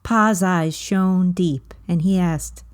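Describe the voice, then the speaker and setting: A woman reading a children's novel aloud as storybook narration, with measured, deliberate phrasing. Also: on the low side.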